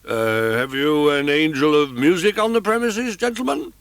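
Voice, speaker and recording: Man playing a skeptical police official, dry amused tone, drawn-out questions, lively pitch. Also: no room sound. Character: wry, skeptical male police commissary, calm.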